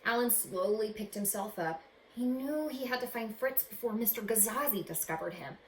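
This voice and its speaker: A woman's voice: a little high, lively intonation. A woman reading a children's storybook aloud with dramatic expression, as if to young listeners. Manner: dramatic, pausing to ask young listeners questions. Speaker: teacher reading a picture book aloud to children. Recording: roomy echo, faint background noise.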